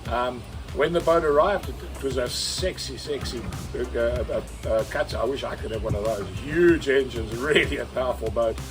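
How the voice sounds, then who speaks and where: A man with a mid-pitched voice hosts a sailing vlog as its skipper, with music clearly playing under him. He tells his story earnestly in the first person, often repeating a word to drive it home. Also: normal speed. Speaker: sailing skipper and video host.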